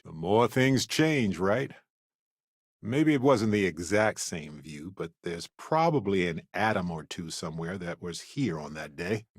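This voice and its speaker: An actor playing an earnest, wry, talkative space explorer companion in a video game, speaking in a conversational, reflective way. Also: male voice, lowish voice, dry recording, studio quality. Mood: thoughtful.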